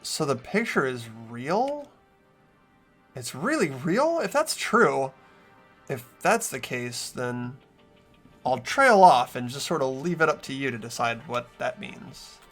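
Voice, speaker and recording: A man hosting a game playthrough, switching between casual commentary and expressive reading of the narration. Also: mid-range voice, slow pace, faint music behind.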